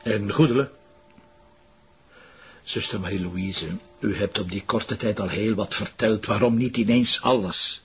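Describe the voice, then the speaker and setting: Husky, creaky-voiced Flemish police commissioner. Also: male voice, on the low side, unhurried, highs cut off, soft background music, full character voice. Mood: firm, insistent. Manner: sardonic, informal, hesitant openings. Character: wry, irreverent male police commissioner, persistent questioner. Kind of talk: naturalistic detective drama dialogue.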